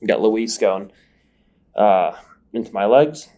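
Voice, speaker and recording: A man's voice: lowish voice, takes it slowly, dark tone, faint music behind. A man giving step-by-step voice-over commentary as a grappling instructor, sniffling from a numbed nose. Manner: explanatory, step-by-step breakdown. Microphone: voice-over recorded separately.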